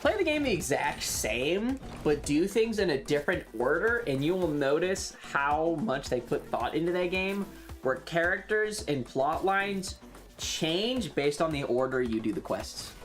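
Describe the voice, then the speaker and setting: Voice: mid-range voice, very animated, unhurried, soft background music. A streamer chatting casually and swearing freely while playing a game on a livestream.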